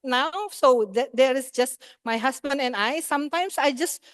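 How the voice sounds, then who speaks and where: A woman's voice: high voice, moderate pace, fairly bright tone, no room sound, studio quality. A middle-aged woman on a seminar panel, answering in a hesitant way with many fillers and restarts.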